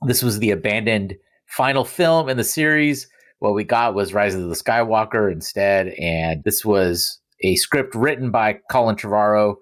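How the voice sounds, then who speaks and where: A man's voice: lowish voice, moderate pace. A man hosting a podcast in a casual, conversational way with joking asides. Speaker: podcast host. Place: remote recording.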